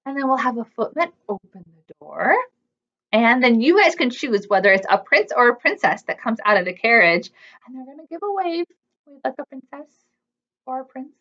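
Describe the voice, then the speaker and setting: A woman's voice: a little high, big pitch swings, normal speed, a bit bright, lo-fi sound. A woman hosting a preschool storytime, speaking expressively in simple child-directed phrases. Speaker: children's librarian, storytime host. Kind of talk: animated read-aloud storytime for preschoolers.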